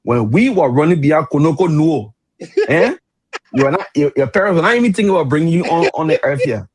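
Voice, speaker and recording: Husky Liberian man in his fifties. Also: fairly high pitch, brisk, poor audio. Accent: Liberian English. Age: 50s. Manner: animated, laughs mid-sentence, searches for words. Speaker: radio talk show panelist. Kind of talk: heated political debate.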